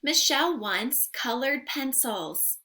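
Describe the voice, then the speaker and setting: A woman's voice: high-pitched, animated, takes it slowly, pushed and bright. A young woman reading aloud to small children as a teacher, in a sing-song, carefully enunciated way, putting questions to her listeners. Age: young adult. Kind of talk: interactive children's read-aloud lesson. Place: room at home.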